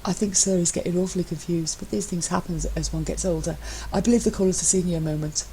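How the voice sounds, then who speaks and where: A woman voicing a bland, deadpan young man who teases his husband with mock servility, in an audio story. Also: on the low side, fast, slight background noise, voice pushed up, close to an everyday voice, rough recording. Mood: deadpan teasing.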